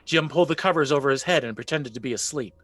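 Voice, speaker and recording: A man narrating a spooky folk legend for a radio play with measured, theatrical storytelling. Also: mid-range voice, a bit bright, dry recording, close to an everyday voice. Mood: suspenseful. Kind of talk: scripted dramatic narration.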